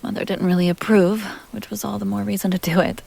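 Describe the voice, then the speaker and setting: A woman's voice: mid-range voice, little pitch movement, mellow. A woman playing an ageless vampire heiress, teasing, tender and wistful, in an intimate, half-whispered ASMR roleplay. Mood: wistful, confiding. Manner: breathy, often whispering, unhurried, coaxing.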